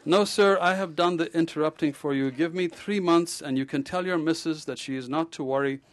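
A man voicing a blunt, jovial male surgeon who tells stories in a lively, teasing and theatrical way. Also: fairly high pitch, moderate pace, pitched above the performer's own voice, natural voice. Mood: mocking, jovial.

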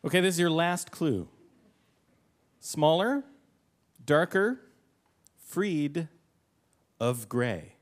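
A man's voice: a little high, big pitch swings, extremely slow, long pauses. A man with an accent hosting a comedy quiz, asking clues and teasing the players dryly. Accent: non-native English. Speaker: quiz co-host and musician. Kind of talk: comedy quiz show banter.

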